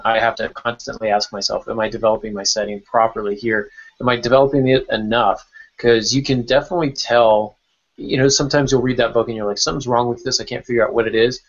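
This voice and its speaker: A man's voice: on the low side, fairly bright tone. A man hosting a podcast roundtable in a casual, conversational way over an online call. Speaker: podcast host. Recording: dull lo-fi online call audio.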